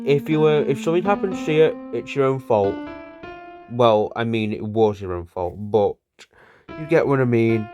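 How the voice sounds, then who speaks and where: A man's voice: medium pitch, lightly acted. A man voicing a bickering, sweary cartoon buddy who reminisces in a rambling, repetitive, conversational way. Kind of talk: casual improvised-sounding cartoon dialogue.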